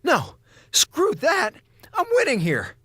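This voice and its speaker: An actor playing an excitable, sarcastic comms officer on a space station in an audio drama, rambling and melodramatic. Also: male voice, very high voice, takes it slowly, no echo, heavily acted. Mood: defiant, agitated. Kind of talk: modern audio drama in Golden Age radio style.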